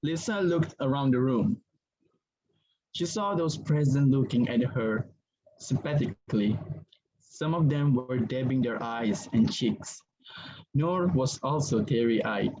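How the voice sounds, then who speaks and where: A man's voice: mid-range voice, a little slow, mellow. A man narrating a scripted dramatic reading as its storyteller between the characters' lines. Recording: muffled audio with faint noise.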